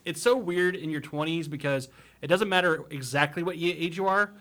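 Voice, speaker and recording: Man, about thirty, bright slightly high voice, rambling and swears casually. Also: a little fast. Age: 30s. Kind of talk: casual group chat.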